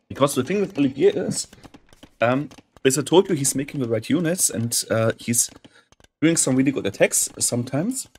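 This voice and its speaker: Hoarse man casually commentating a game. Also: medium pitch, lively intonation, moderate pace, pauses often, darkish tone, a little hiss or hum. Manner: thinking aloud, often clearing his throat; keeps saying "interesting" with mild curiosity. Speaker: gaming channel host commentating a match.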